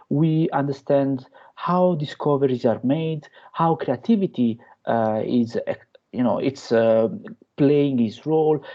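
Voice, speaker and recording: A young adult man with an Italian accent giving an online academic lecture, pausing often with fillers and restarts. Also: medium pitch, dark tone, breathy edge, muffled recording. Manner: hesitant, many fillers and restarts. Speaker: guest lecturer. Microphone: video call.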